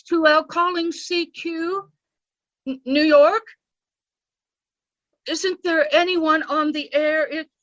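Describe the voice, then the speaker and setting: A woman playing a lone radio operator in a radio drama, repeating call signs in a pleading, halting way over a dull, distant radio sound. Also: very high voice, a bit bright, full character voice.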